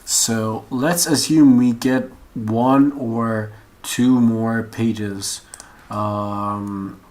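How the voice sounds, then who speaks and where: Male online course instructor, mid-pitched, unhurried and rambling, muttering to himself as he works through a screen-share demo. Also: fairly even intonation, darkish tone. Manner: rambling, halting, thinking aloud while searching. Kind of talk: casual unscripted screen-share tutorial. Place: at a computer. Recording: noisy room with keyboard and mouse clicks. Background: typing and mouse clicks.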